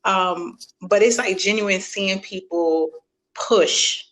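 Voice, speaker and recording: A woman's voice: mid-range voice, steady tone, takes it slowly, a bit bright, poor audio. A woman hosting a podcast interview, chatty and laughing often, acting out quoted reactions in casual talk. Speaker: podcast host.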